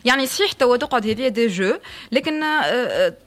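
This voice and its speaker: Female talk show host, Tunisian accent, rapid-fire delivery, slightly high voice. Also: expressive, fairly bright tone. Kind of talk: lively panel show presenting.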